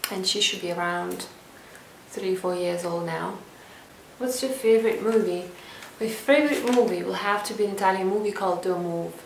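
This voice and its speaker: A young woman from Lithuania vlogging a casual to-camera Q&A at home, calm and reflective as she reads out each question and answers it. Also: mid-range voice, normal speed, gravelly, slight background noise. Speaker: lifestyle vlogger. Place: indoor room at home. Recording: echoey room, voice a bit distant.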